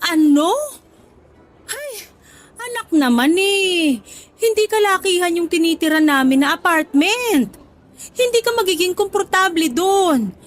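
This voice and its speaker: A woman playing a doting, gently scolding middle-aged mother in a radio drama, emphatic and motherly. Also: extremely high pitch, animated, a little slow. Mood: reluctant. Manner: motherly, emphatic, fond exasperation. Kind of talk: Tagalog radio drama acting.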